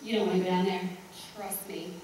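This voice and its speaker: A woman performing a theatrical monologue as a reluctant, embarrassed seventy-two-year-old, chatty and blunt, with halting, dismissive asides. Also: fairly low pitch, flat delivery, natural voice. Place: echoey room, recorded from far off. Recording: echoey room, distant mic, faint noise.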